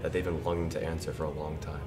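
A young man playing a solemn exorcist priest who gives deliberate instructions. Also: very deep voice, flat delivery, distant mic, loud music behind the voice. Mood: solemn, instructive. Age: young adult. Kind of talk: mock instructional narration.

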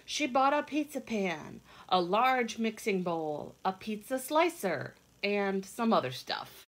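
A woman in her thirties reading a picture book aloud for children in a deliberate, sing-song, teacherly way, with asides to the listeners. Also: mid-range voice, big pitch swings. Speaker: read-along storyteller for children. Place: indoor rooms at home.

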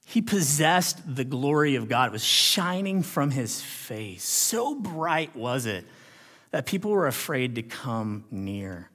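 A man delivering a sermon as a pastor, with a dramatic delivery that moves between hushed and emphatic. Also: medium pitch, sing-song, takes it slowly. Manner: dramatic, swinging from hushed near-whispers to loud emphatic declarations; rhetorical questions and check-ins with listeners. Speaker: pastor preaching. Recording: long hall reverb.

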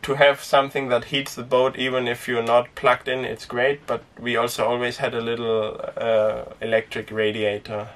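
A young man who grew up speaking Danish, chatting casually to camera inside a small sailboat, relaxed and rambling with lots of fillers. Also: mid-range voice, moderate pace, few pauses, distant mic. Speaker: sailing vlogger. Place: inside a small sailboat cabin and cockpit tent.

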